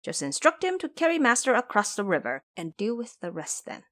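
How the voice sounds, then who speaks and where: A woman's voice: mid-range voice, big pitch swings, a little fast, a bit bright. Woman doing a smart monkey big brother, sure of himself, wry, bouncy intonation, audio drama. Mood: confident, advising. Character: clever, confident male monkey elder brother.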